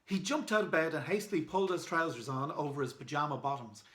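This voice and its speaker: A man's voice: fairly high pitch, expressive, fast talker, a bit bright. An Irish man reading aloud in a children's storytime style, as an author and illustrator. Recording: echoey room.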